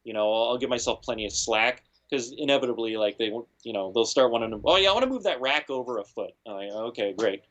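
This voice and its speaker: A man, a guest audio engineer, giving relaxed advice in an interview and filling his speech with "you know" and "like". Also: medium pitch, fast, hardly any pauses. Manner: laid-back, lots of "you know" and "like". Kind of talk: casual interview talk.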